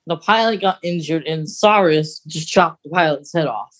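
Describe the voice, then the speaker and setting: A woman's voice: deep voice, moderate pace. A woman co-hosting a podcast in casual, playful chat, chiming in with corrections. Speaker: podcast co-host.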